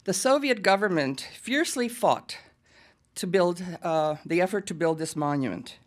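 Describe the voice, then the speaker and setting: Casting: American woman with a lowish voice, emphatic podium speaker. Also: animated, normal speed. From United States. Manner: earnest and emphatic, with pointed asides. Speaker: guest speaker at a press event. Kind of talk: prepared speech at a podium. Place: press club hall.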